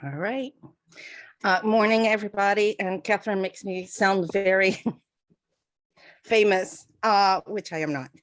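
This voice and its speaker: A woman's voice: mid-range voice, fairly even intonation, measured pace, pauses often. A woman, giving a chatty online talk over a video call, with lots of fillers and self-deprecating asides. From Portugal. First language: Portuguese. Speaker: guest speaker. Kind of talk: online presentation.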